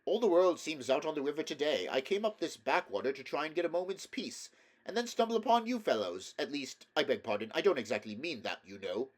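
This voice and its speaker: A man's voice: fairly high pitch, very animated, brisk, pitched above the performer's own voice. A man voicing a hearty, gossipy male otter in a storybook, chatty and chummy. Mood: grumbling, apologetic. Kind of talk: storybook character voice in a read-aloud.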